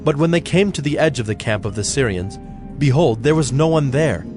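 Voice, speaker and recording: A man narrating a dramatized scripture reading in a measured, solemn manner. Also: medium pitch, animated. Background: soft background music.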